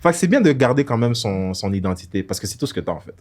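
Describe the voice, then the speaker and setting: A young man from Congo, co-hosting a relaxed podcast conversation. His pitch rises and falls a lot, and he drops into mimicry and jokes. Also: quick pace. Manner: animated, joking, mimics voices. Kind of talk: casual chat.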